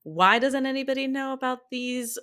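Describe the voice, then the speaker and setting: A woman's voice: high voice, normal speed, sharp tone, clear, resonant tone. A woman guesting on a podcast, giving wry, emphatic commentary in short punchy remarks during a casual chat.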